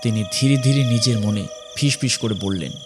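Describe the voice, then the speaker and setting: A man narrates a spooky tale with dramatic pauses. His phrasing is measured and full of suspense. A clear music bed runs underneath. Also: medium pitch. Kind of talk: dramatic scripted ghost-story narration.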